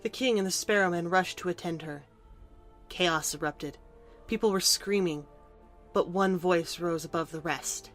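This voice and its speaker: A woman with a fairly low voice narrates a fantasy story. It is scripted storybook narration, set over soft background music. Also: unhurried.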